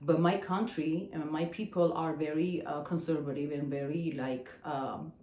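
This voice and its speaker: A woman's voice: low-pitched, fairly even intonation, normal speed, a little mellow, echoey room. Hesitant Armenian-accented woman in her forties. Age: 40s. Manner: hesitant, searching for words, frequent fillers. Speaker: singer and channel host. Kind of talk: personal vlog monologue.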